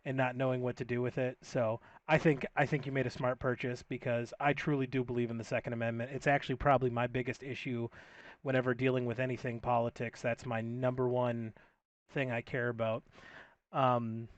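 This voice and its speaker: A man's voice: medium pitch, level tone, fairly quick, dry recording. A man hosting a casual podcast chat, easygoing and agreeable, stumbling a little as he starts sentences. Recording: dull dry lo-fi audio.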